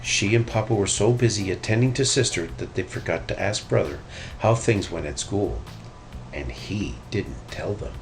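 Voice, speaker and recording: A man narrating a children's story in an expressive read-aloud style. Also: lowish voice, normal speed, creaky, faint music behind, rough recording. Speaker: storybook reader.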